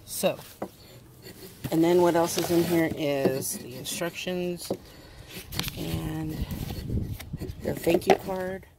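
A woman giving a casual unboxing review outdoors, talking into a handheld camera and piecing her explanations together as she goes. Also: fairly low pitch. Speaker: toy review channel host, mother. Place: outdoors on a wooden step beside a house. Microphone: handheld phone or camera close by. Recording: noisy handheld outdoor recording.

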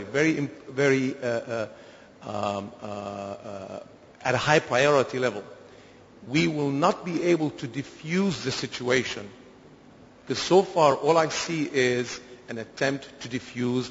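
A man from Syria making an earnest, emphatic intervention from the floor of a conference, with halting restarts. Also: medium pitch, a little hiss or hum. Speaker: conference participant. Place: large conference hall. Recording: echoey hall, dull lo-fi sound.

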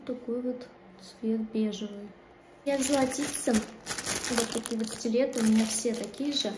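Young female beauty vlogger, fairly high voice, informal and chatty, filmed on a phone indoors with some room noise. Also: steady tone, a little slow. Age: 20s. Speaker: manicurist vlogger. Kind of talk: casual chatty vlog. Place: small indoor kitchen and studio rooms. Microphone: phone at arm's length. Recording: clear background room noise.

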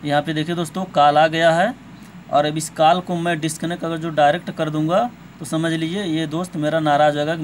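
A man hosting an informal step-by-step phone tutorial, speaking to viewers as friends in a repetitive, somewhat hesitant way. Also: on the high side. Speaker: tech tutorial host. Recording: clear background noise, amateur recording.

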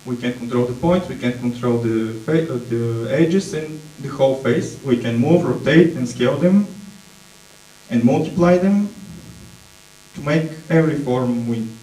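Slow-talking Bulgarian man in an echoey hall. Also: medium pitch, dark tone. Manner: hesitant, many fillers, searching for English words. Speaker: conference presenter. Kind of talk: slow conference talk with slides. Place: dark auditorium stage. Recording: echoey hall, distant mic, mains hum.

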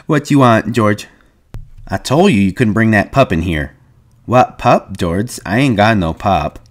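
A man's voice: animated, measured pace. A man doing a childlike, pleading big man. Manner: breathless, pleading, higher voice.

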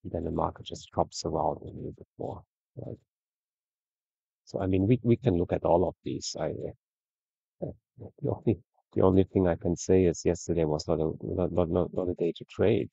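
Gravelly, deep-voiced man reviewing trading charts. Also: moderate pace, no room sound, highs cut off. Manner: relaxed, conversational, frequent "right?" tags. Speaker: trading educator. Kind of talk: screen-share trading review.

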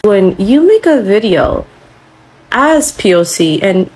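A woman's voice: medium pitch, sing-song, slow, darkish tone, slightly rough, rough recording. A young woman giving a blunt, scolding, sweary response to camera as a social media creator. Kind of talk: direct-to-camera video response.

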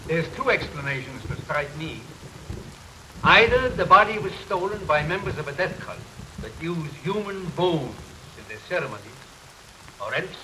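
An actor in a 1930s film playing an earnest older man who explains dark matters haltingly and emphatically, repeating his phrases. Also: fairly high pitch, lively intonation, lightly acted. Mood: grave. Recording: hissy 1930s film soundtrack under rain. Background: rain and thunderstorm ambiance.

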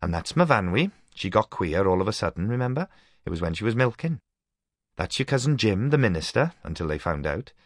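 A man's voice: lowish voice, sing-song, fairly quick, no echo, studio quality, voice pushed up. A man voicing a chatty, affectionate Welsh housewife in a storybook reading, gossiping fondly. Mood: fond gossipy. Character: chatty, fond Welsh housewife reminiscing over family.

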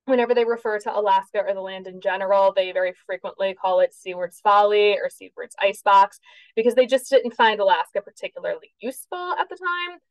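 A woman's voice: medium pitch, fairly quick, no room sound, rough recording. A woman with a New Jersey accent giving a recorded history lecture from her basement, chatty and self-correcting, often checking in with "okay?". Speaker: history teacher. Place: home basement. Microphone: webcam.

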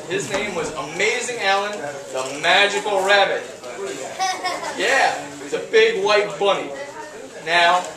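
An upbeat young man in his twenties running a children's party show, joking with the kids and coaxing them to answer. He is recorded by a camera across a large, echoey hall, with loud children's chatter around him. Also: sits high, far from the mic. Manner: upbeat, jokey, coaxing the audience. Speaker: children's entertainer. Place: large hall decorated for the holidays, indoors. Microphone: far camera.

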